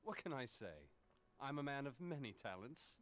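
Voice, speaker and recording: An actor playing a charming, self-satisfied rogue in a video game, with a theatrical, teasing delivery. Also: male voice, medium pitch, big pitch swings, moderate pace, fairly bright tone. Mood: smug, playful.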